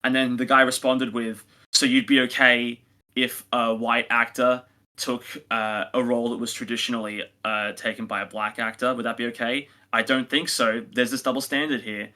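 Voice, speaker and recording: A man's voice: mid-range voice, little pitch movement, normal speed, bright, forceful tone. A man co-hosting a review podcast, chatting casually and giving his opinions with emphatic phrasing. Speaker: podcast co-host reviewing audio dramas.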